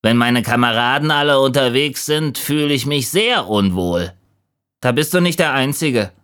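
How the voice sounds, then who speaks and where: A man's voice: medium pitch, expressive, normal speed, fairly bright tone, smooth, voice pushed up, natural voice. A man voicing a sympathetic, sociable raven in a children's story.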